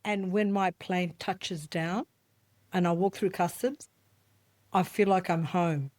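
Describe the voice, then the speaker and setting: A woman's voice: lowish voice, steady tone, takes it slowly, a little mellow, no echo. A woman co-hosting a podcast in casual chat, passionate and laughing often. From Australia. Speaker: podcast co-host.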